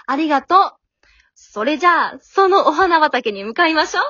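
Radio drama heroine, female amateur: haughty, pushy young girl, high-pitched and very animated, dry slightly dull recording. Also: normal speed, no echo. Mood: sweet, pushy. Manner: haughty, coy, pushy ladylike speech.

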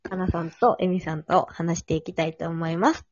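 A woman's voice: fairly low pitch, fairly even intonation, brisk, no echo, dull audio. A woman hosting a casual three-person chat in polite, conversational phrasing. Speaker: host.

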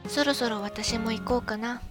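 Woman acting jealous young wife, loud music behind. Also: fairly high pitch, moderate pace, natural voice. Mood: cheerful. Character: young wife, loving but jealous and suspicious. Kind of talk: amateur radio drama acting.

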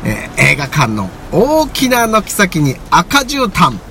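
Man acting showy tour guide, really high lively voice, bit far, music behind. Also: slow pace, slight background noise, heavily acted. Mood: showy, announcing. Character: showy bus tour guide announcing a retro cinema. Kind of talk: radio drama acting, announcing to a tour group.

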